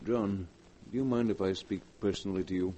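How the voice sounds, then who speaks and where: An actor playing a concerned, level-headed best friend, measured and persuasive, in a 1940s radio drama. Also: male voice, sits low, fairly even intonation, mellow, lightly acted. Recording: dull, faintly noisy old radio recording.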